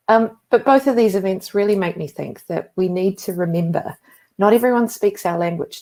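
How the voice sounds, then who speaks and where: A woman's voice: fairly low pitch, a little mellow. A woman with a New Zealand accent giving a conference talk, telling stories in a conversational way with frequent asides. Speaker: conference speaker.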